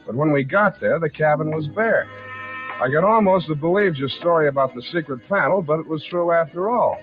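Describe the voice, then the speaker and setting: Male actor, wry hard-boiled detective, deadpan radio noir narration over music, thin vintage sound. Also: fairly high pitch, brisk, close to an everyday voice. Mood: sardonic. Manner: sardonic, deadpan. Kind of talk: 1940s radio acting, first-person noir narration. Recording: old lo-fi radio recording with music.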